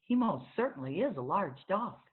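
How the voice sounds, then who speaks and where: Woman voicing a flustered little old lady, very lively pitch. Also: normal speed, husky, narrow, tinny audio. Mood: flustered, proper. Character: tiny, easily startled old lady librarian. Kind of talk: children's storybook read-aloud.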